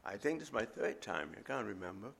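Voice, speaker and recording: A middle-aged man with a Bahamian accent, preaching a sermon as a visiting preacher in a measured, emphatic way. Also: on the low side, sing-song, vocal fry, a bit bright, slightly rough.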